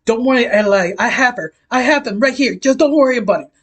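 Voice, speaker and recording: Loyal young villain sidekick, acted by a storyteller, pitched up, pleading and urgent, for an adventure story. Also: fast talker, close to an everyday voice.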